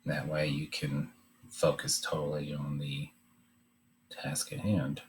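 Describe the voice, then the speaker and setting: A man giving an informal drawing demonstration, talking in a hesitant, halting way as he thinks aloud. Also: a bass voice, completely flat delivery, a little hiss or hum. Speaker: art demonstrator.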